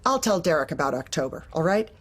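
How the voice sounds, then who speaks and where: A manipulative lady boss, kinda low voice that moves around a lot, polite and officious, audio drama comedy. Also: sing-song, quick pace. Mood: probing, businesslike. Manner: polite, probing, businesslike, bureaucratic.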